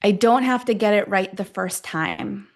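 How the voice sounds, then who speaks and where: A woman guides a self-affirmation session at a medium pitch. She speaks in short, deliberate phrases and leaves long pauses between them, in the style of a guided meditation.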